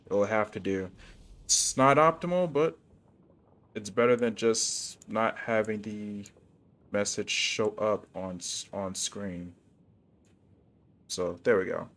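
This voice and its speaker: A man's voice: lowish voice, takes it slowly, long pauses, a bit bright. A young man talking casually to his viewers while livestreaming a video game. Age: young adult.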